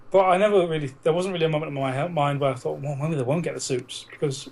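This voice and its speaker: A man with a somewhat high voice trades casual banter as a podcast co-host. He jokes, swears and argues his points about films in a loose, conversational way. There is a little noise behind the voice. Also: fast. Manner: sweary, joking, argumentative.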